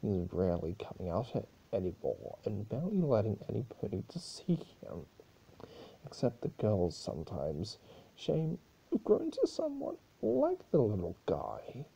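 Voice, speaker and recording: Man voicing a husky, mischievous male chaos spirit. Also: fairly low pitch, huge pitch swings, a little slow, mellow, heavily acted, rough recording. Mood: somber. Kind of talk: dramatic fan-fiction reading.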